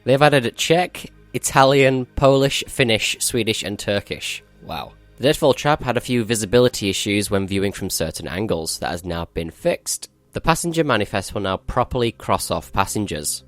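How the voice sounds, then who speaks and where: A man's voice: lowish voice, lively intonation, moderate pace, few pauses, fairly bright tone, dry recording, soft background music. A male gaming channel host giving a matter-of-fact, explanatory walkthrough of a game update.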